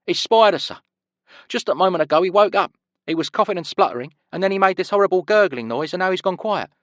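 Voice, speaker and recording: A sly male prisoner pushes his voice high and quavering, pleading like someone frightened out of his wits, as a narrator puts him on. Every line trembles with put-on panic. The sound is close and dry, with no room around it. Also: expressive, fast talker, fairly bright tone, no room sound. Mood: feigned alarm. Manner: terrified pleading. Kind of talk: audiobook character voice.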